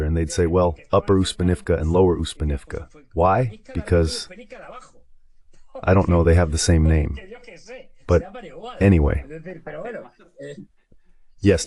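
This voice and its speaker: Low-pitched synthetic man explaining a map. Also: darkish tone. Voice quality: synthetic dubbed AI voice. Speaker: guest commentator. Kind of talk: live map-based war commentary.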